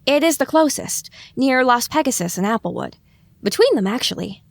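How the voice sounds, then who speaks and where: A woman's voice: sits high, animated, normal speed, gravelly. A woman voicing a young, self-conscious little pony filly with wry, exasperated muttering in an audio drama, with echoing reverb. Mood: earnest, tentative.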